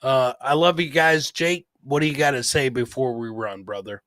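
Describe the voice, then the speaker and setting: A man hosting a casual livestream podcast, effusively plugging and shouting out his guests with profane, brotherly familiarity. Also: medium pitch, normal speed, no room sound, clean studio sound. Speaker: podcast host. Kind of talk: casual livestream chat with plugs and shout-outs.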